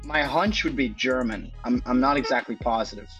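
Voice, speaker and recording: A man's voice: medium pitch, normal speed, a bit bright, soft background music. A young man co-hosting a football podcast, arguing animatedly with lots of stammered restarts. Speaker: podcast co-host. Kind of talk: casual football podcast chat. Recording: dull dry lo-fi sound.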